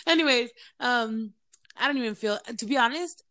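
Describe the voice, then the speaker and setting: A woman's voice: a little high, normal speed, sharp tone. A woman born outside the US, co-hosting a podcast in casual chat, blunt and swearing freely. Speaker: podcast co-host.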